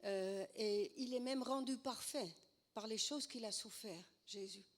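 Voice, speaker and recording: Woman, Dutch accent, sore throat, halting, slightly high voice, church talk. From Netherlands. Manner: hesitant, many fillers and restarts. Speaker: guest speaker.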